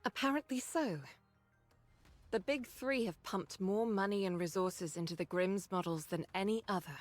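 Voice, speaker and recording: A woman's voice: mid-range voice, faint music behind, close to an everyday voice. Composed woman squad leader acted, formal briefing. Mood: composed, explanatory. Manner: measured, authoritative, formal. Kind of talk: game cutscene voice acting, expository briefing.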